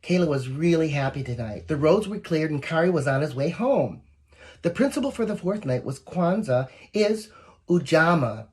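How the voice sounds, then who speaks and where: A woman reading a picture book aloud to young children, expressive and encouraging, with asides to the listeners. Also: low-pitched, normal speed, slightly rough. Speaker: volunteer story reader.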